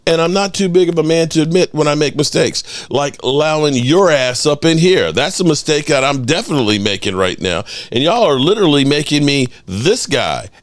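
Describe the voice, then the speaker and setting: A middle-aged man hosting a sports call-in show, animated and joking, swearing freely. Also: on the high side, brisk, hardly any pauses, no room sound. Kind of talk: casual call-in show talk.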